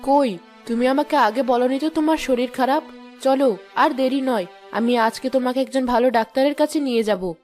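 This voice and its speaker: A woman voicing a rich, haughty, quick-tempered wife in a cartoon moral story, with an imperious manner. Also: high voice, darkish tone, natural voice. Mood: anxious. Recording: music behind the voice.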